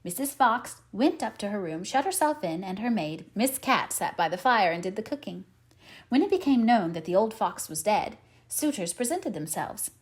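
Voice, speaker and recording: A woman's voice: medium pitch, big pitch swings, normal speed. A woman reading a scripted fairy tale aloud as an audiobook storyteller.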